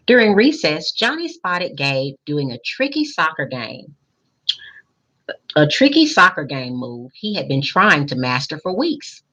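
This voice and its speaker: A woman reading a picture book aloud expressively, pausing in a teacherly way to put questions to young listeners. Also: sits low, big pitch swings, sharp tone, poor audio. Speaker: school principal reading aloud to children.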